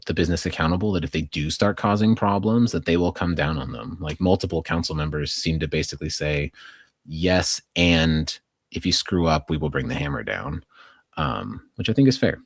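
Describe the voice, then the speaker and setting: A man's voice: low-pitched, fairly even intonation, normal speed, no echo, clean studio sound. An American man co-hosting a podcast, talking through local news in a casual, conversational, hedging way with plenty of "like". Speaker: podcast co-host.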